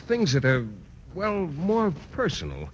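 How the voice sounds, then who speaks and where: A bashful stammering biology teacher. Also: male voice, sits high, wildly swooping pitch, unhurried, full character voice. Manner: hesitant, stammering, formal wordy. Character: bashful shy male biology teacher, adult. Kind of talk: 1940s radio sitcom acting. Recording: dull old radio broadcast with faint hiss.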